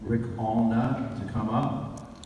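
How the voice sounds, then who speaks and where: A man hosting an awards ceremony, announcing informally in a hesitant, halting way. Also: mid-range voice, level tone, vocal fry, highs cut off. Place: large awards hall over PA. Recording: very echoey hall, distant mic, faint noise.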